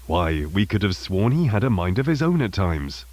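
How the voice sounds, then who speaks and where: A man voicing a soft-spoken uncle who turns out to be secretly menacing, in an animated horror short film. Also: sits low, normal speed, a little hiss or hum. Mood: fond.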